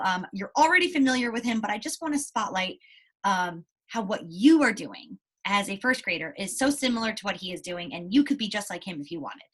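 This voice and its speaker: Female primary teacher, higher-pitched, explaining things to small children and checking they follow. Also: fairly bright tone. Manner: explanatory, often checks with "right?". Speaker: first-grade teacher. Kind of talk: read-aloud lesson talk to young pupils.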